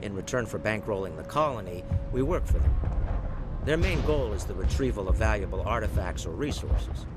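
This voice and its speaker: A man plays the dutiful leader of an embattled space colony in a video game. He sounds earnest, courteous and measured in a fairly low voice. Music is loud behind him. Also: expressive, natural voice. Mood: earnest, weary. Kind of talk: video game dialogue acting.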